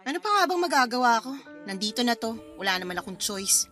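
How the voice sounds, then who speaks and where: Scolding wife, high-pitched, very animated, sarcastic, confrontational, radio drama acting, light music underneath. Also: female voice, fast. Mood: resigned, bitter. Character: bitter, scolding wife and mother, fed up and combative.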